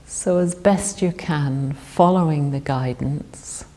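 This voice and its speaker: A woman leading a guided meditation in a calm, gentle, soothing manner. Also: deep voice, slow. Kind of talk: guided body scan meditation.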